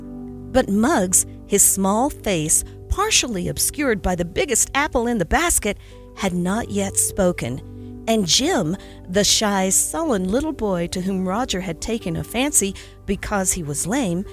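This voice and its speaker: A woman narrates a classic story aloud with expressive, lilting delivery. Her pitch moves in a lively way through each sentence. Quiet music plays in the background. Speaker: podcast host and story narrator.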